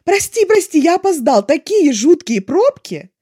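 A woman voicing an exuberant, chatty young male artist in an audiobook, gushing and excitable. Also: very high voice, normal speed, pitched above the performer's own voice. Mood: apologetic, eager. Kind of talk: expressive audiobook character voice.

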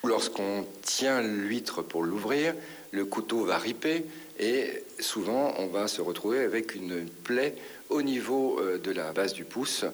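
A man's voice: on the low side, animated, slow. A man explaining things as an expert in a radio interview. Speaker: hand surgeon. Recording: hissy radio interview recording.

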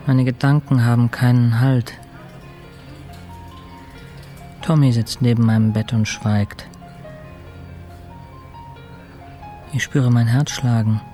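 A man playing an intensive-care patient in a radio drama, soberly reporting his own state in measured, clinical, clipped sentences. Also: medium pitch, flat delivery, mellow, natural voice. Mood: sober, restless. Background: music.

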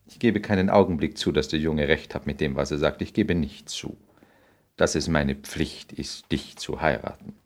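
Deep, creaky-voiced suave lord. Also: male voice, fairly even intonation. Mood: coolly insistent. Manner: polished, condescending, deliberate. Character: cynical aristocratic English lord, suave and self-regarding. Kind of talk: 1960s radio play acting.

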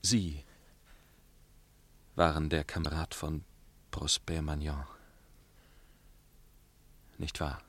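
A man's voice: a bass voice, flat delivery, drawn-out words, long pauses, a bit bright, exaggerated voice. An actor playing an earnest, conscience-stricken young man in love, formal and brooding, in a 1960s German radio drama. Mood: hesitant, urgent.

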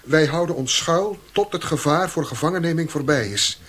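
An actor playing a cautious, self-important bourgeois husband in a radio drama, a cowardly schemer who speechifies pompously. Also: male voice, fairly high pitch, normal speed, a bit bright.

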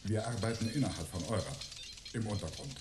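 A man's voice: fairly low pitch, brisk, close to an everyday voice. An actor playing a cowardly, wheedling male saboteur in a German sci-fi crime radio drama, evasive and pleading in manner. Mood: confessing. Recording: echoey, noisy radio play scene.

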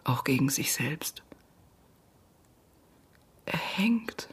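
A grieving, stubborn mother in a literary radio drama. Her voice is deep for a woman and extremely breathy, often sinking toward a whisper. She speaks slowly and haltingly, refusing to believe what she is told. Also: very animated, full character voice. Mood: numb, bitter. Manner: halting, short numb sentences. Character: shattered mother told of her daughter's death, stubborn, disbelieving.

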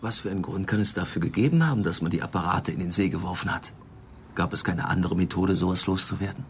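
Casting: dogged detective, radio play, lowish gravelly voice, measured and reflective. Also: male voice, slight background noise. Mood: probing. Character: brooding, persistent male police investigator. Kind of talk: German radio drama acting.

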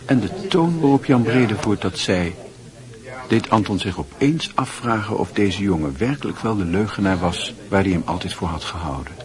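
A man's voice: lowish voice, animated, normal speed, a crowd in the background. A man reading scripted literary narration as the narrator of a radio drama.

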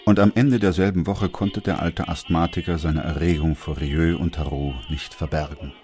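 An actor playing a composed, middle-aged doctor who narrates a plague chronicle in a radio drama, calm and sober. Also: male voice, very deep voice, moderate pace, faint music behind. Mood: sober narration.

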